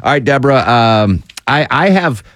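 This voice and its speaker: A man's voice: mid-range voice, animated, moderate pace, a bit bright, no echo. A man with a Connecticut accent hosting a radio talk show in a studio, rambling and chatty with lots of fillers. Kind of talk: casual on-air chat. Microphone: studio microphone.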